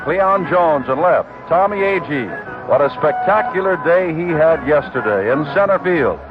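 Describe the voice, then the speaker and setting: A man calling a baseball game on the radio, briskly reading out the lineups. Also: fairly high pitch, animated, over loud music. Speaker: radio play-by-play announcer. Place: stadium broadcast booth. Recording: tinny 1969 AM radio broadcast.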